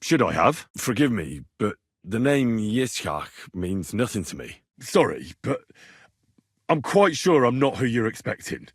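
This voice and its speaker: A man's voice: mid-range voice, sing-song, a little slow, breathy edge, no echo, clean studio sound, heavily acted. A man voicing a young medieval man-at-arms in a video game, earnest, cheeky and plain-spoken, talking in a direct, inquisitive way. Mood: apologetic. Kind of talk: video game dialogue acting.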